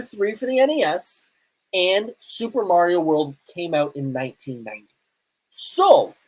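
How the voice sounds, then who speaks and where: A woman's voice: on the low side, a little mellow, breathy edge, low-quality recording. A woman hosting a live podcast with casual, emphatic, opinionated commentary in a conversational way. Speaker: podcast host.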